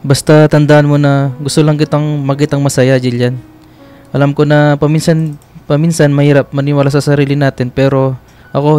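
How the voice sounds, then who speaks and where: A man's voice: a little high, warm tone, smooth, natural voice. A man playing a devoted, sweet and teasing young boyfriend in a radio romance drama, with a Filipino accent and a tender, coaxing manner.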